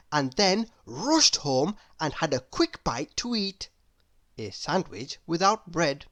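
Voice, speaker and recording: A woman reading a children's story aloud in an expressive narrating style. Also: fairly low pitch. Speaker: storybook reader.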